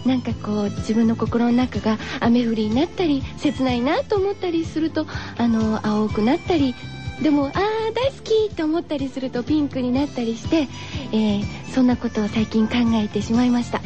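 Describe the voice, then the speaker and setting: A woman hosting a radio show, chatting gently and girlishly as she muses to her listeners. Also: a little high, animated, moderate pace, highs cut off, over music. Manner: gentle, girlish musing with rhetorical questions to listeners. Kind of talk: chatty solo radio talk, reading listener postcards.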